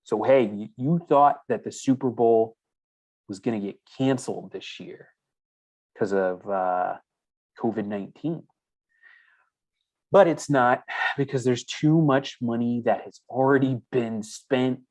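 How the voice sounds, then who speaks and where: A man's voice: medium pitch, unhurried, pauses often, darkish tone, low-quality recording. A man hosting a crypto news show, reading stories aloud and adding chatty asides in a casual, conversational way.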